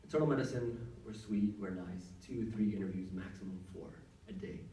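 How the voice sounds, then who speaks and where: Low-voiced man giving workshop advice. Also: a little fast, warm tone. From Saudi Arabia. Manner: conversational, many fillers and restarts. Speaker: workshop speaker, doctor. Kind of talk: casual advice talk to students. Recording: echoey room, mic at a distance.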